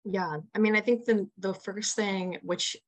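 A woman's voice: mid-range voice, steady tone, a little slow. A young American woman in her early twenties answering interview questions in an enthusiastic, chatty way peppered with "like". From United States. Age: early 20s. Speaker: interview guest, young nonprofit founder. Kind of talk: casual spoken interview answers.

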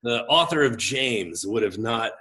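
A man in his forties with a big voice, telling his own story as a pastor and guest in an expressive, gregarious, halting conversational way. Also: medium pitch. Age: 40s. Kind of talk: reflective personal storytelling in conversation.

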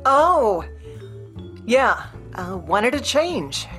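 An actress playing a patient, practical ex-wife in a radio play, with a natural, placating way of talking. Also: female voice, on the high side, very animated, very slow, fairly bright tone, faint music behind, full character voice. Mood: distracted. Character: patient, practical career woman, an exasperated ex-wife.

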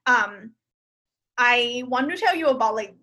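A woman from Thailand, a podcast guest telling a personal story in casual conversation, pausing often with fillers and restarts. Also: a little high, lively intonation, pushed and bright. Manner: hesitant, many fillers and restarts.

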